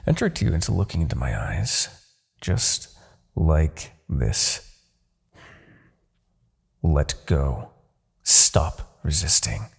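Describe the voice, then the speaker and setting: A man playing an immortal demon king, a smug, coldly persuasive villain with a gravelly, husky voice and a theatrical, condescending manner, in a fantasy roleplay audio. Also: lowish voice, a little mellow, exaggerated voice. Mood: commanding, hypnotic. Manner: theatrical, condescending, lingering on words. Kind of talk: fantasy ASMR roleplay acting.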